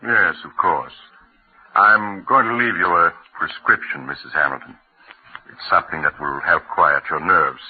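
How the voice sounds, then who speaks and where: Deep-voiced, measured radio doctor. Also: male voice, slightly rough. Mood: kindly. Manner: measured, reassuring, avuncular. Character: calm, kindly family doctor who narrates the story. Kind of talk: old-time radio drama narration and acting. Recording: muffled, narrow-band old radio recording.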